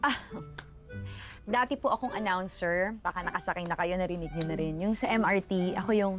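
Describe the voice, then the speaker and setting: A woman's voice: fairly low pitch, a little fast, over music, close to an everyday voice. A woman playing an awkward, cynical young job applicant in a comedy film, hesitant and casual. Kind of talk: naturalistic comedy film acting.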